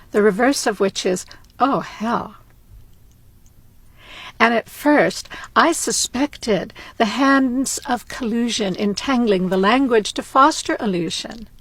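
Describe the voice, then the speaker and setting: A woman giving a measured, wordplay-heavy spoken-word lecture that runs into rhyme. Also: a little high, a bit bright, dry recording.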